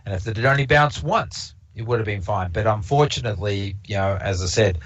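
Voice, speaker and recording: A man's voice: on the low side, brisk, fairly bright tone. A man who speaks English as a non-native language, presenting a casual observatory talk and rambling with many fillers and restarts. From Canada. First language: not English. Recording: slightly dull lo-fi stream audio.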